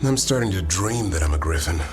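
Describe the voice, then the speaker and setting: An actor playing a wry, earnest warrior of an honorable fantasy order in a video game, with dry, measured delivery. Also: male voice, low voice, animated, faint music behind. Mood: wry, relaxed.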